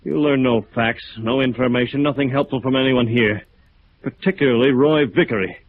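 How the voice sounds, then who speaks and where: An actor with an American accent playing a battered, bitter architect in 1950s radio drama, halting and weary. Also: male voice, medium pitch, no room sound, natural voice. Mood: bitter, resigned. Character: battered, bitter, dazed local architect, a beaten man. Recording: muffled narrow-band vintage radio recording.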